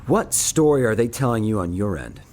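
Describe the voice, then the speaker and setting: A man playing a steady, dry starship captain in an audio drama, brisk and matter-of-fact. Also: lowish voice, slight background noise. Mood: commanding.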